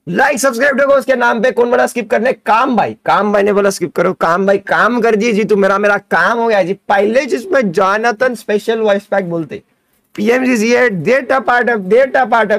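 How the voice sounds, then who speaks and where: A young man streaming a game, chatty and excitable, talking to his viewers from a desk mic in his gaming room. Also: very high-pitched, moderate pace, creaky, dry recording. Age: young adult. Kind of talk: excited live reaction stream.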